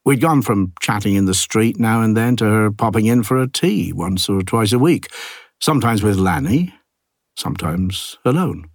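A man narrating an audiobook as an ageing village artist, a plain-spoken, gruff and reflective loner, with a husky low voice and a wry, understated delivery. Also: lively intonation, moderate pace, no echo, studio quality. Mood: quiet, reflective. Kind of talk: first-person literary audiobook narration.

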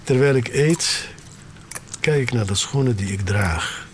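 An actor playing a sneaker-obsessed, wistful man of the future, telling his story in a deliberate, dramatic radio-drama monologue. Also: medium pitch, slight background noise, lightly acted. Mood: subdued, reflective.

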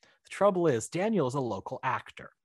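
A man speaking as an interview guest, telling behind-the-scenes filmmaking stories in a casual, animated, chatty way. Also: medium pitch, fairly quick, husky, dry recording, studio quality.

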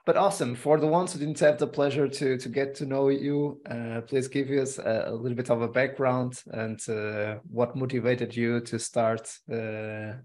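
A man hosting a business podcast, with a medium-pitched voice and an upbeat delivery. His questions wander a little, with plenty of fillers. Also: level tone, moderate pace, few pauses, a little mellow. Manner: upbeat, loose phrasing with many fillers. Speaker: podcast host. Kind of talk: casual interview hosting.

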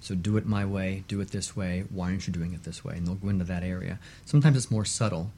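A man speaking as an expert guest on a radio talk show, explaining in a measured, emphatic way and repeating points for stress. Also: low-pitched, dark tone, a little hiss or hum.

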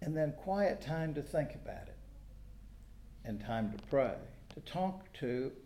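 An American man preaching a sermon in a folksy, conversational way, pausing deliberately between phrases. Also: on the high side, lively intonation, dark tone, a little hiss or hum. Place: church sanctuary during a service. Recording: echoey room sound.

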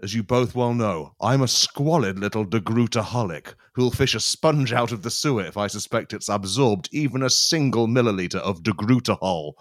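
A man's voice: on the low side, lively intonation, normal speed, hardly any pauses, no room sound, studio quality. A man co-hosting a comedy podcast, playful and sweary. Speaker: podcast co-host.

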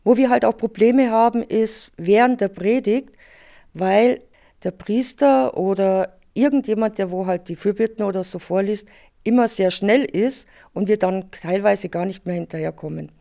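A woman talks about her work as an interpreter in a relaxed, conversational way. Her voice is mellow and warm at a middle pitch, with occasional hesitations. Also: normal speed, vocal fry. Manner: conversational, explaining with fillers. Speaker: sign language interpreter. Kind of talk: radio interview soundbite.

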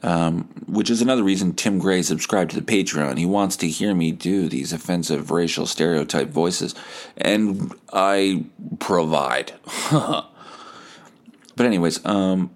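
Low-pitched man telling comedic stories. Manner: animated, acts out quoted dialogue; profane. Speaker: podcast host. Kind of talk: casual comedic storytelling.

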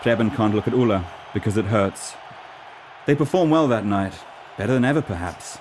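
Low-voiced man narrating over background noise. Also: lively intonation, moderate pace, a little mellow. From Norway. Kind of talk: scripted radio play narration.

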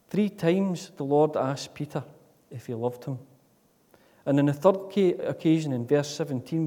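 A man preaching a sermon in a church, earnest, with repeated rhetorical questions that swing between loud and soft. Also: a little high. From Scotland. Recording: long reverb of a church hall.

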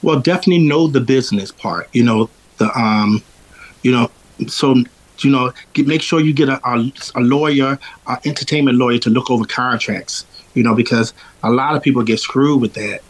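A man's voice: medium pitch, moderate pace, poor audio. Philly singer guy chatting on a radio show, strong voice, rambles a lot, lots of "you know". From Philadelphia. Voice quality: strong, powerful voice. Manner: rambling, with many fillers and restarts. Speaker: singer and interview guest. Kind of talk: casual interview chat.

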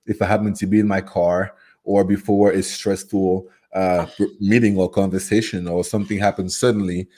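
A man's voice: deep voice, a little mellow. A man in his thirties from Cameroon, a native French speaker with a Cameroonian French accent, talking as an upbeat, earnest interview guest. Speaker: guest, program facilitator. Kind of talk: casual interview talk.

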